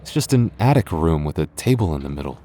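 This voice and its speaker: A man voicing an affectionate, teasing young goth boyfriend in an ASMR roleplay, talking softly and intimately. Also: extremely low pitch, sing-song, fast talker, heavily acted. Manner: soft, intimate, playfully teasing. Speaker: voice actor.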